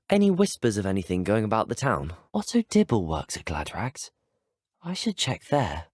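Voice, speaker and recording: A young man with a British accent voicing an earnest, courteous, brave teenage wizard student in a video game, speaking politely and articulately with a slightly husky tone. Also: mid-range voice, a little mellow, no echo, clean studio sound. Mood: polite, curious. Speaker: player character in a video game. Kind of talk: video game voice acting, scripted lines.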